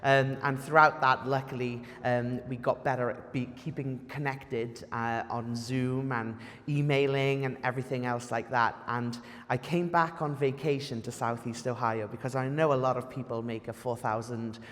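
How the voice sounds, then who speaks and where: A man's voice: mid-range voice, fairly even intonation, moderate pace, a bit bright. A young Welsh man, a native Welsh speaker, giving a friendly public history lecture with lots of "um" fillers. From Wales. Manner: friendly, self-deprecating, many "um" fillers. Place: lecture hall. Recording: reverberant hall.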